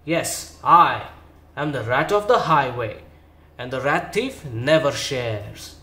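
A man voicing a greedy, bullying male rat highwayman in a children's storybook reading, loud and gloating. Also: lively intonation, takes it slowly, roomy sound, full character voice. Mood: bellowing.

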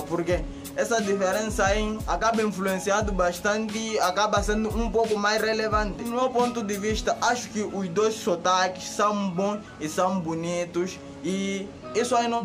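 A young Mozambican man vlogs to his camera in a fairly high voice, animated and expressive as he talks things through. Music runs clearly under his speech the whole way, close to the mic in a small indoor spot. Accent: Mozambican Portuguese. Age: young adult. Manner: animated, gesturing with both hands. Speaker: YouTuber. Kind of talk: casual talk to camera. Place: indoors by a bare concrete wall.

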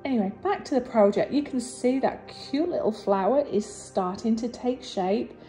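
A slightly husky woman narrates her own craft video in a casual, encouraging voice-over, sounding a little tired. Her voice sits fairly high but mellow. Soft music plays faintly underneath. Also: normal speed, poor audio. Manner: chatty, warm and encouraging, with fillers and restarts. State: low energy after recent surgery. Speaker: resin artist and channel host.

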